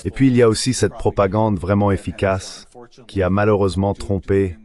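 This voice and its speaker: A man with a low-pitched voice speaks as a panelist. He delivers political commentary in the form of a translated voice-over, as part of a discussion. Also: fairly even intonation, moderate pace, dark tone.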